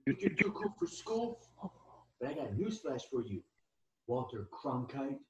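A man's voice: mid-range voice, sing-song, measured pace, a bit bright, slightly rough, exaggerated voice. A man playing a vain, touchy young male model with a cocky, taunting manner in an amateur comedy table read over a video call. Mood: cocky, challenging. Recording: muffled, noisy video call.

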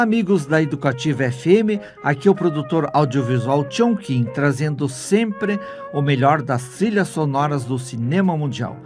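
Brazilian male radio interviewer, 60s, slightly high voice, clear music underneath. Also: a little mellow. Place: small foam-padded radio studio. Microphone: studio microphone. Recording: music behind the voice.

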